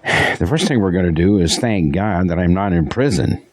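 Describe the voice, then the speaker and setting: An actor playing a devout, steadfast pastor in an audio drama, speaking in an earnest, resolute way. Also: male voice, deep voice, expressive, moderate pace. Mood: steady, concerned. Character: devout, steadfast male pastor facing persecution, married.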